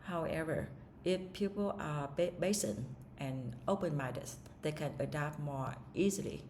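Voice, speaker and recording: A woman's voice: low-pitched, a little slow, a little mellow, a little hiss or hum. A woman whose first language is Vietnamese, practicing English speaking-test answers aloud, asking herself the questions and answering with hesitations and restarts.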